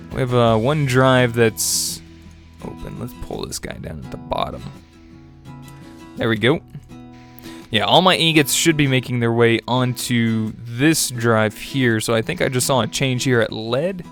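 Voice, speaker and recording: A young man with a stuffy, congested voice, chatting casually as he narrates his own gameplay video. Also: medium pitch. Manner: chatty, upbeat, with little laughs and fillers. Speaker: gaming video host. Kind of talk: casual let's-play commentary. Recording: faint music bed behind voice.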